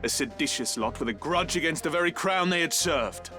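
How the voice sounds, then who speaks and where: Man as a commanding, high-pitched knight officer. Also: animated, a little fast, music in the background, close to an everyday voice. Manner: commanding, formal declamation. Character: stern commanding male knight officer addressing cadets. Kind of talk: formal military briefing.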